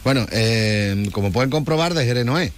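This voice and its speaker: A man's voice: mid-range voice, lively intonation, a little fast, slight background noise. A man hosting a local radio interview, chatty and joking, backing the guest with constant short replies. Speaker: radio host.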